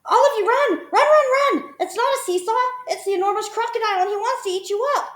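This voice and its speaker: Lady doing a screechy monkey yelling run, really high, roomy sound. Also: fast talker. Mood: urgent. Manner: shrill urgent shouting. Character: excitable male monkey, shrill.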